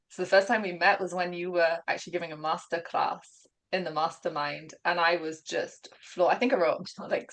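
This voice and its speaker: A woman with a lowish voice and a mixed British accent, speaking as an interview guest over a video call. She tells a personal story in an open, earnest way and laughs easily between phrases. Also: a bit bright. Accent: mixed British and Gibraltarian. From England and Gibraltar. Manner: open, earnest, laughs often while telling her story.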